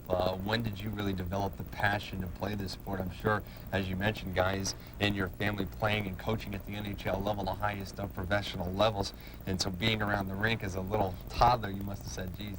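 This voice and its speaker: A man's voice: fairly low pitch, little pitch movement, fairly quick. A man hosting a sports interview show, asking long, run-on questions like a play-by-play announcer. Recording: clearly noisy background.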